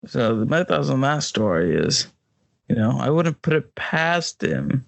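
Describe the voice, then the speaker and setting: Man, American accent, creaky gravelly voice, dry close sound, podcast co-host, blunt joking chat. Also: mid-range voice, big pitch swings, measured pace, no echo. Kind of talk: casual banter.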